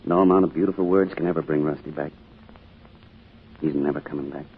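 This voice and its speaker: Very deep-voiced, grieving, bitter father. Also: fairly even intonation. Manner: halting, weary. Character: grieving, bitter, withdrawn middle-aged father. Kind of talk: old-time radio drama acting. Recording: muffled, noisy old radio recording.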